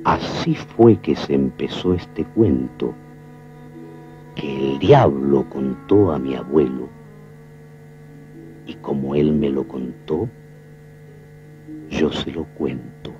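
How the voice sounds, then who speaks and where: Very deep, dark-voiced Argentine storyteller. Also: male voice, full character voice. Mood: solemn. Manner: solemn, poetic, measured. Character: solemn male storyteller of a folk legend. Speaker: narrator. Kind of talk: scripted film narration. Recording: muffled 1970s film soundtrack, faint music.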